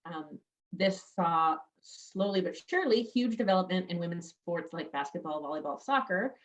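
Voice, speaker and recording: A woman reading out audience questions on an online panel, measured as she reads. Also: fairly low pitch, expressive. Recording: distant dull video call audio.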